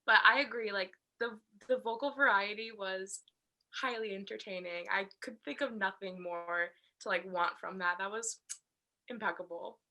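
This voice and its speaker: A woman's voice: mid-range voice, normal speed, sharp tone, low-quality recording. A young woman giving informal, candid feedback as a guest judge on a video call. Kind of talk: casual spoken critique.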